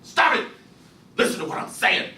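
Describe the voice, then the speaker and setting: A man voicing a distraught mother who pleads and apologizes in a high, breathy, often hushed voice. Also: normal speed. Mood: pleading. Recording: roomy, distant mic, faint hiss.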